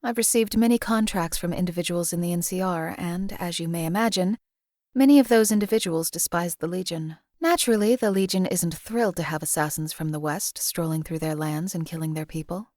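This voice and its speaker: An actress voicing a detached female assassin companion in a video game, with deadpan, measured, emotionally flat delivery. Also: fairly low pitch, dry recording, studio quality, natural voice.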